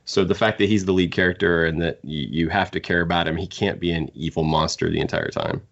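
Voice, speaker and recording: A man with a very low voice chats as a podcast panelist. He thinks aloud haltingly, doubling back on words. The audio is a bit dull. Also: expressive, creaky, a bit bright. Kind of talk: casual discussion.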